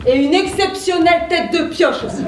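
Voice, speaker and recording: A sharp-tongued young woman, played by an actress, teases in a stage play. Her voice sits very high. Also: animated, moderate pace. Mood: teasing.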